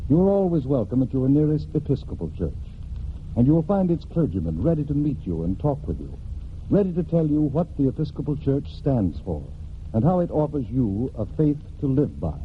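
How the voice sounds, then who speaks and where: A man reading a scripted 1940s radio church message in an earnest, measured oratorical manner, pausing between phrases. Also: medium pitch, big pitch swings, phone-quality sound. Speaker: narrator of religious message. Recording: thin, hissy 1940s radio recording.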